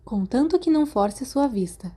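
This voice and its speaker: A woman acts out a no-nonsense school nurse in a storybook, firm yet caring with her young patients. The voice is mellow, with a Brazilian Portuguese accent and an admonishing manner. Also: sits high, lively intonation, moderate pace, slightly rough, pitched above the performer's own voice. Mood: firm but indulgent.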